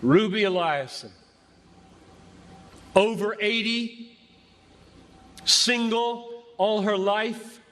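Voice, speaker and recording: A man preaching an impassioned sermon to a crowd as a pastor, speaking emphatically and deliberately with dramatic pauses between short phrases. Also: high voice, pushed and bright. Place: large gathering before a crowd. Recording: echoey large venue, long reverb.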